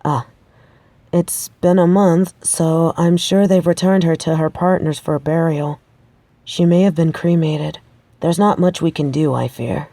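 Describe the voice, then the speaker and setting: A woman's voice: low voice, steady tone, a little slow, mellow, no room sound. A woman playing a determined, pragmatic researcher of the supernatural in an audio roleplay, speaking in a conversational, matter-of-fact way. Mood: grave, reassuring. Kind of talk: audio roleplay acting, addressing the listener.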